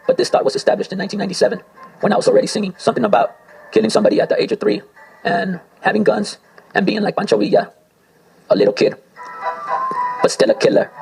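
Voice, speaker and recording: Gravelly guy freestyling spoken word, spanish is his first language, talks fast and bounces all over, faint music behind. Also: high-pitched, darkish tone, rough recording. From Spanish-speaking home. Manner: rambling, abstract, talks to himself. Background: faint circus music.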